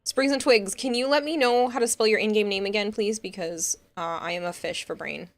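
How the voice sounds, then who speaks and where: A woman's voice: mid-range voice, a little fast. Young boston woman streaming, chatty and reassuring, talking to chat. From Massachusetts. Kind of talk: casual live-stream chat.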